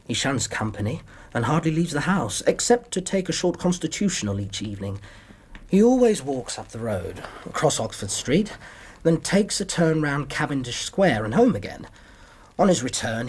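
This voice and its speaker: A young English doctor in period radio drama, modest and courteous. The voice sits a little high and is slightly husky. He speaks precisely but hesitantly, with lively rises and falls. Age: young adult. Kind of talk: period radio drama acting, narrating his story.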